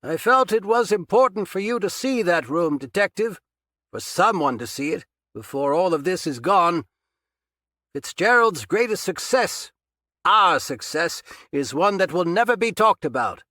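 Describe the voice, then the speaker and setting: A man's voice: high voice, lively intonation, a little slow, bright, forceful tone, no room sound, clean studio sound, voice pushed up, full character voice. A man voicing a pompous male villain who taunts with grand, raised, self-satisfied speech. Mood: gloating. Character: menacing, pompous male villain, gloating and cold.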